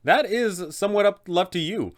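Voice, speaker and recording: A man's voice: high voice, moderate pace. A man hosting an explanatory guide for game masters, addressing the viewer directly and posing rhetorical questions. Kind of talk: explanatory video narration with advice for game masters.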